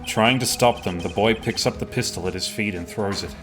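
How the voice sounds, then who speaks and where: A man voices a young defense lawyer in a game fan dub. He is earnest and a little nervous, given to hesitation. Music plays clearly behind the voice. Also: fairly low pitch, a little fast, natural voice. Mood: tentative.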